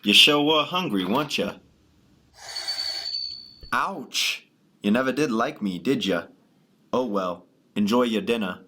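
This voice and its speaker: A man's voice: medium pitch, expressive, unhurried, long pauses, pushed and bright. A man playing a humble, folksy, mild-mannered bookstore clerk in an amateur radio drama. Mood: good-natured. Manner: mild, hesitant, old-fashioned exclamations.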